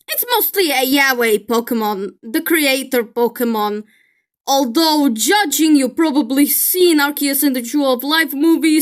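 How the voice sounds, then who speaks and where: A woman's voice: sits high, a bit bright. A woman giving casual reaction commentary as a video creator, playful and teasing, with exclamations.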